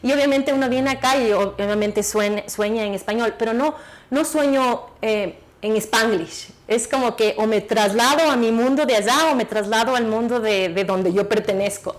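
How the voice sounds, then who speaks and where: Guest author: woman, 30s, bit high voice, quick animated chat with lots of restarts. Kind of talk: TV interview, casual chat.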